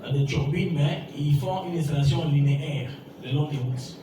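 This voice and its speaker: A man's voice: fairly high pitch, moderate pace, background noise. Male interpreter in an echoey hall. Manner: rephrasing, hesitant. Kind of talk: consecutive interpreting into French. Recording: echoey hall, far from mic, music behind.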